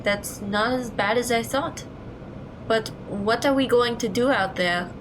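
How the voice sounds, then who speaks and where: A woman playing a sensible teenage girl who takes charge of her friends in an audio drama, brisk and decisive. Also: on the high side, background noise, lightly acted. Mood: skeptical.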